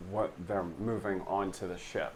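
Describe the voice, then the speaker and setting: A man's voice: low voice, little pitch movement, moderate pace, slight background noise. A man talking casually and insistently as a player in a tabletop game.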